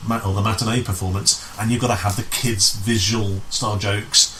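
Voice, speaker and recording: Male guest, fairly low but sharp-edged voice, casual explanatory chat, slight room noise. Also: flat delivery, fairly quick, low-quality recording. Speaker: guest, amateur pantomime director.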